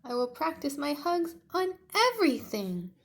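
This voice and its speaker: A tiny young T. rex boy from a storybook speaks up , played by a woman reading aloud. He is earnest and determined. His words come slowly and deliberately, with childlike emphasis. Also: high voice, big pitch swings, voice pushed up.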